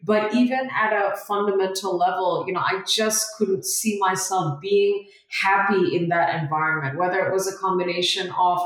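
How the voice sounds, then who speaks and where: A woman's voice: mid-range voice, fairly even intonation, normal speed, hardly any pauses. A young woman from India, a guest in a casual interview, talking earnestly with frequent "you know" fillers. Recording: very echoey room, far from mic.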